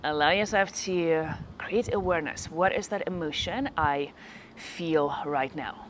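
A woman with a slightly low voice guides a meditation. She speaks gently and slowly, leaving long pauses between short phrases. The recording has clear background noise with small clicks.

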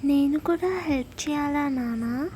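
A woman's voice: high voice, unhurried, mellow, rough recording. A woman voicing a cheerful, eager young farmer's son in a moral story. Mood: curious, eager. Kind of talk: scripted moral story dialogue.

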